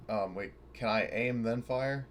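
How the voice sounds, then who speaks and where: A man's voice: mid-range voice, a little slow. A man playing a tabletop game, talking casually and bluntly as he works out his moves. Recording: clear background noise.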